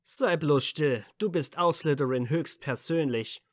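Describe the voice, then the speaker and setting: An actor playing an earnest, playful young wizard hero in a fan fiction audio drama, sincere and bantering. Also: male voice, a little high, measured pace, dry recording, clean studio sound.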